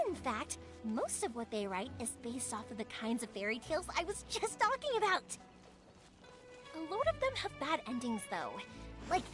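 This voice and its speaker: Game role: cheerful young woman fireworks maker, high and very lively, chatty, bighearted, music underneath. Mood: wistful. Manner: bubbly, expressive, casual and chatty. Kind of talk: animated video game voice acting. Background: background music.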